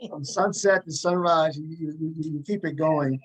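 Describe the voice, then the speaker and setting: A man's voice: fairly high pitch, moderate pace, low-quality recording. A man interviewing guests casually, repeating words and rephrasing his questions as he feels his way along.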